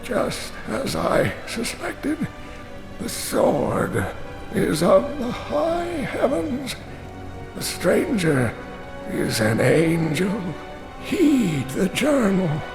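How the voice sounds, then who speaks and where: An actor playing a frail, dying old scholar who speaks slowly and solemnly in a gravelly voice. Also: male voice, high voice, big pitch swings, roomy sound, over music, full character voice, rough recording. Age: older. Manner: slow, solemn and weighty. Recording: game score loud behind voice.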